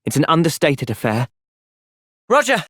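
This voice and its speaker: A young man playing an earnest, thoughtful young soldier hero in a fantasy video game's cutscenes, with expressive delivery. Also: fairly high pitch, normal speed, breathy edge, no echo, clean studio sound, heavily acted. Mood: resolute. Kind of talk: video game cutscene acting.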